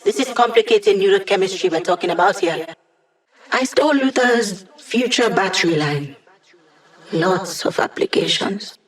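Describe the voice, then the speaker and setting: A woman's voice: mid-range voice, lively intonation, normal speed, close to an everyday voice. A young woman voicing a wry, quippy digital hacker who gives mission support in a video game, in a conversational way. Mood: wry, playful.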